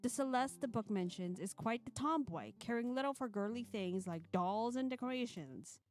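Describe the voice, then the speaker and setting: A woman with a fairly high voice hosts a live game stream. She moves between playful, self-mocking chat and expressive read-aloud narration, with faint music behind her. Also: moderate pace. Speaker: streamer and host reading a visual novel aloud. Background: background music.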